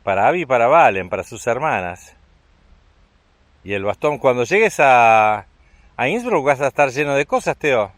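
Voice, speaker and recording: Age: adult. Sex: man. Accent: Argentine Spanish. Style: chatty travel vlog narration while walking outdoors. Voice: bright, mid-pitched. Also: very animated, normal speed, no echo. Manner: chatty, upbeat, encouraging his child. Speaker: vlogger and father. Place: outdoors on a rural walking trail.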